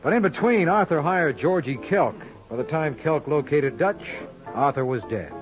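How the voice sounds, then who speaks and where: A man's voice: a little high, lively intonation, normal speed, lightly acted. An American actor playing a cocky, wisecracking male lawyer-sleuth in an old radio detective drama, with wry, punchy delivery. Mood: assured. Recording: dull old radio broadcast, music at times.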